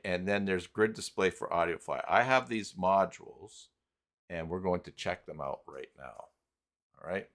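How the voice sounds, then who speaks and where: Deep-voiced man hosting a slow tutorial. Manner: halting, thinking aloud while working. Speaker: host of live tutorial stream. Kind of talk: unscripted live software tutorial.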